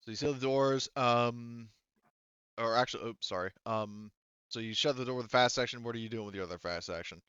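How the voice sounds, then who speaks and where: A man's voice: medium pitch, brisk, fairly bright tone, clean tone, no echo, lo-fi sound. A man acting as game master, casually narrating and refereeing a tabletop roleplaying game, thinking aloud and often correcting himself.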